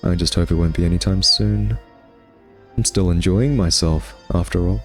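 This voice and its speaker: A man's voice: low voice, steady tone, moderate pace, soft background music, natural voice. A man playing a smug male vampire in an ASMR roleplay, taunting a captured hunter with a sardonic, teasing, conversational drawl.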